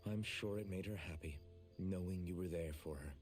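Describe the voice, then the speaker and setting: An actor playing an earnest, protective young hero in an anime video game, plain-spoken and sincere. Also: male voice, low-pitched, moderate pace, darkish tone, soft background music, lightly acted. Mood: reassuring.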